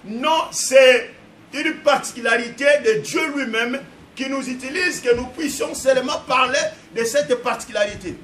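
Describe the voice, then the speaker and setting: A man delivers an impassioned sermon, his voice sitting extremely high for a man. He shouts and hammers phrases over and over. The sound is echoey and distant, with noise underneath. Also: normal speed. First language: Portuguese. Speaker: pastor. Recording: echoey room, distant mic, background noise.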